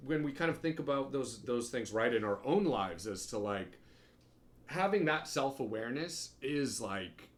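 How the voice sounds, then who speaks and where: A man's voice: mid-range voice, expressive, moderate pace. A man in casual stream conversation, rambling through his thoughts with lots of filler words and plenty of swearing. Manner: rambling, profane, heavy on fillers like "like" and "right". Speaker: podcast host. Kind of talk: casual hobby stream chat.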